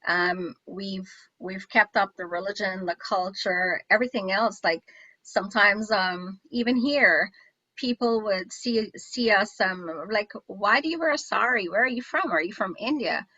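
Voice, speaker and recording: A woman's voice: mid-range voice, unhurried, bright, forceful tone, no echo, dull audio. A woman from Guyana hosting an interview, chatting enthusiastically with many restarts. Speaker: show host. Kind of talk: casual interview chat.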